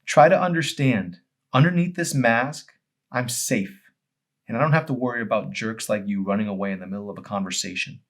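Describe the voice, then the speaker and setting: An insecure, wounded teenage girl from a storybook, acted out by a man reading aloud. The voice is lifted in pitch, pleading and accusing, with emphatic stress. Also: fairly quick. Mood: hurt, accusing.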